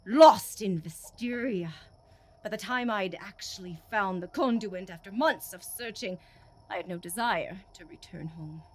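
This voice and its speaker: An actress playing a cruel, haughty, bitter empress in an audio drama, with an imperious, scornful, theatrical manner. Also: female voice, medium pitch, unhurried, close to an everyday voice. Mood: proud, bitter. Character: cruel, haughty empress, bitter toward her step-sister.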